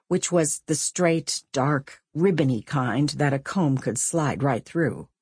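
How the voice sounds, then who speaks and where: A woman reading a novel as an audiobook narrator, with measured, expressive storytelling. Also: sits low, breathy edge, no room sound, clean studio sound. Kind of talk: scripted literary narration.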